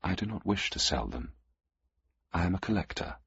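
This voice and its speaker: Man doing a cool, curt woman collector for an audio story; husky, dry, muffled. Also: deep voice, normal speed, no room sound, pitched above the performer's own voice, heavily acted. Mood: cool, businesslike. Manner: calm and curt.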